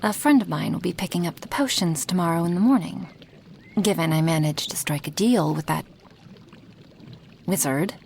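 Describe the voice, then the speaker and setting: A woman voicing an affectionate female dragon who brews potions, in a soft, intimate ASMR roleplay with breathy half-whispers and sighs. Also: fairly low pitch, normal speed, long pauses, slight background noise. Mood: weary, confiding. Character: affectionate female dragon, a potion maker tending her human guest.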